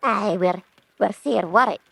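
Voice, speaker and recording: A woman's voice: medium pitch, a little slow, gravelly, very noisy. A woman playing a fussy, anxious old lady with a Scottish accent in a radio drama, chattering and repeating herself. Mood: fussy, apologetic.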